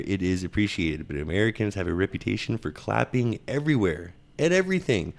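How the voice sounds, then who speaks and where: Podcast host: young Texan man, low gravelly voice, jokey and laughing as he reads. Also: darkish tone. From Texas. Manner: joking, laughs often, reads list points aloud. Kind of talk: casual podcast chat, reading list items.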